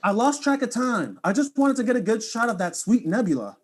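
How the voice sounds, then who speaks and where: A man's voice: very high voice, sing-song, fairly quick, full character voice. A man playing a vain, theatrical streamer in a comic audio drama, with a flowery, melodramatic delivery. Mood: sheepish, excitable.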